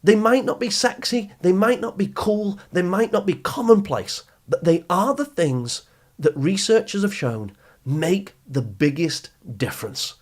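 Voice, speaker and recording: A man's voice: high voice, animated, measured pace. A man hosting a podcast, speaking to listeners in an encouraging, instructional way with emphatic phrasing. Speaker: podcast host and education speaker. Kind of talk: scripted podcast narration, addressing listeners directly.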